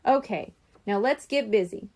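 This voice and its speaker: A woman reading aloud while voicing a gentle, organized mother in a children's storybook. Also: mid-range voice, normal speed, lightly acted. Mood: motherly. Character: warm, organized mother planning a surprise.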